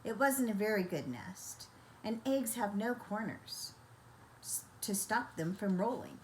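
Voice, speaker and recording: Slow-paced older woman reading a bedtime story. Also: mid-range voice, lively intonation, a little mellow, slight background noise, poor audio. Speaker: children's storyteller.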